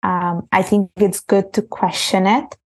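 A woman's voice: medium pitch, little pitch movement, a little slow. A young woman from Italy, a podcast guest talking earnestly and reflectively, often restarting her sentences. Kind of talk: casual interview talk.

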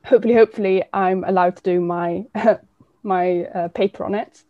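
A woman in her twenties with an English accent, a climate activist speaking as a guest in a casual interview. She speaks in a measured, thoughtful way, with frequent fillers. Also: mid-range voice, fairly even intonation, no room sound, dull audio. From Sweden. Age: 20s.

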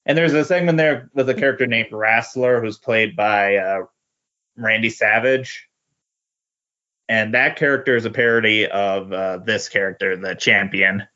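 A man chatting casually as a podcast host, with a halting, hesitant delivery. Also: fairly low pitch, sharp tone. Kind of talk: casual chat. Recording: muffled lo-fi audio.

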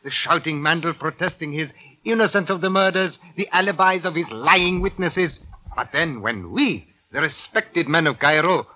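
An actor playing a small, obsequious and nervous Cairo poultry merchant in an old radio drama, speaking with flowery courtesy. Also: male voice, on the high side, normal speed, pushed and bright. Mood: self-important. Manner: flowery formal courtesy, stammering when afraid. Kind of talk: 1940s-50s radio drama acting. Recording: muffled old radio recording.

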